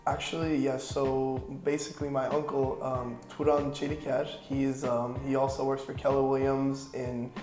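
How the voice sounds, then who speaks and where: Young man with a flat, even voice. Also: mid-range voice, moderate pace, hardly any pauses, reverberant, over music. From Florida, United States. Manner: relaxed, rambling, lots of "you know". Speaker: interviewee, young realtor. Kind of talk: casual interview answers.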